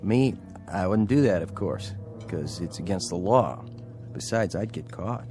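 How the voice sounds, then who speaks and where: A man's voice: low voice, expressive, measured pace, mellow, faint music behind. A man playing a stubborn, wry bus driver in a radio drama, blunt and folksy in his delivery. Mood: sardonic, folksy. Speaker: actor in a radio play.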